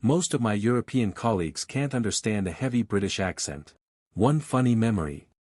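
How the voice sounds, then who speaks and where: A man calmly reading aloud a first-person online story. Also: on the low side, fairly quick, dry recording, clean studio sound. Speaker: narrator.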